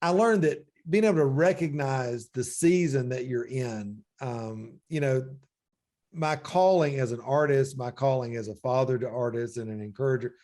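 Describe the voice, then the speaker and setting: A man's voice: mid-range voice, normal speed, mellow. A man in his forties hosting a faith-based interview, talking reflectively and often saying "you know.". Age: 40s. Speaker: podcast host.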